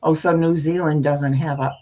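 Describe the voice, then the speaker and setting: An older woman with a husky voice and a folksy, meandering manner, talking as a storyteller hosting her own live stream. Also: deep voice, steady tone, normal speed, warm tone. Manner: folksy, meandering, often trailing off and repeating. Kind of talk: casual rambling chat with viewers; reading a spooky story aloud.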